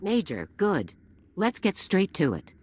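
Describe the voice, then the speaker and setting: Casting: stern army general, low for a woman, commanding, formal game cutscene delivery. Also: wildly swooping pitch, measured pace, a little mellow, full character voice. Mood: resolute. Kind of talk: video game cutscene acting.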